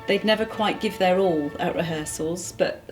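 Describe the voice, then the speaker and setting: Middle-aged woman, English accent, lowish pitch, casual reminiscing, light music underneath. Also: moderate pace. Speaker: community theatre member being interviewed.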